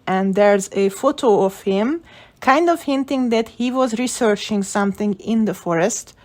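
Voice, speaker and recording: A woman's voice: medium pitch, a little mellow. Woman giving casual theory commentary. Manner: speculative, opinionated. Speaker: video creator analysing game story. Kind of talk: casual video essay commentary.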